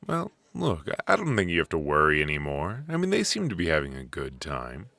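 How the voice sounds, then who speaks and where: Deep-voiced, rambling, flirty coffee date played by a man. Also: wildly swooping pitch, vocal fry, no echo, full character voice. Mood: warm, curious.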